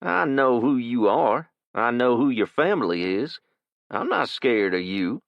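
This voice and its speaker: A man voicing a cocky, menacing teenage boy with a thick, slow South Louisiana drawl. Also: mid-range voice, sing-song, gravelly, dry recording, highs cut off, voice pushed up. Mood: cocky, threatening.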